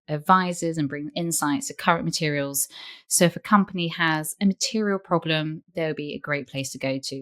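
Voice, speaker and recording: A woman hosts her own podcast in a low, slightly croaky voice left over from an illness. She speaks with gushing warmth and stretches out her phrases. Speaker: podcast host. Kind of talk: scripted intro, then casual interview chat.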